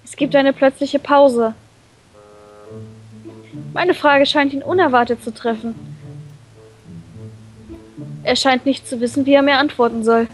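A woman's voice: high voice, steady tone, normal speed, pauses often, rough recording. A woman reading a visual novel aloud in a casual way, as a co-reader in a let's play. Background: background game music.

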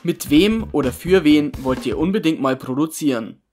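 A man's voice: a little high, animated, normal speed, a little mellow, faint music behind. A man of 26 playfully hosting a Q&A video and reading out viewer questions, with a tongue-in-cheek manner. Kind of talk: upbeat scripted Q&A video presenting.

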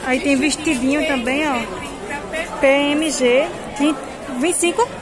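A woman's voice: sits high, a little slow, music in the background. A woman, filming a casual walk through market stalls on her phone and calling out prices to her viewers. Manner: chatty, points out prices, asks vendors questions. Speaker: vlogger touring a market. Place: busy market stalls, half outdoors. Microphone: handheld phone camera. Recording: loud crowd noise behind. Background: loud market crowd.

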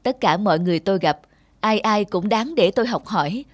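A woman playing a gentle, humble mother and novelist in a moral cartoon, earnest, measured and patient. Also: mid-range voice, expressive, dry recording, natural voice. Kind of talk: animated moral-tale dubbing. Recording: music behind the voice.

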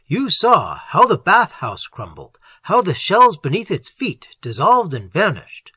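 A man's voice: sits high, wildly swooping pitch, a little slow, pushed and bright, slightly rough, no room sound, pitched above the performer's own voice, heavily acted. A man voicing an urgent, commanding male scientist in a horror story, speaking emphatically as he explains and gives orders. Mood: grave, insistent. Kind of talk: dramatized horror story reading.

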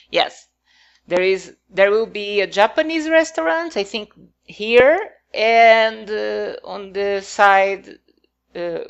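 A woman's voice: mid-range voice, gravelly, smooth, dull audio. A woman whose first language is not English, talking casually over her game build in a hesitant, rambling way with many fillers.

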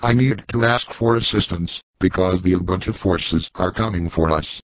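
Formal robot hero man, voiced by a text-to-speech engine, lowish, stiff delivery, dry muffled sound. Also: moderate pace, dry recording, natural voice. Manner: stiff, formal machine reading. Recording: dry lo-fi synthesized audio.

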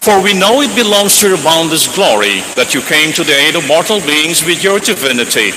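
Priest guy, kinda high voice, slow solemn prayers, long church reverb. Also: big pitch swings, noisy background. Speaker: priest celebrating mass. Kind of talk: liturgical prayer. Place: large church sanctuary. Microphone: stand mic at altar.

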